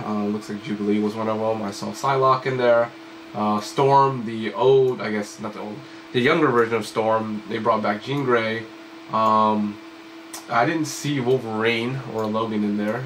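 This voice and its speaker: A man's voice: fairly low pitch, a little hiss or hum, low-quality recording. Rambling young man, slightly sick. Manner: rambling, filler-heavy, excitable. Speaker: reaction video host. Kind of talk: casual reaction commentary. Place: small home room with computer desk, indoors.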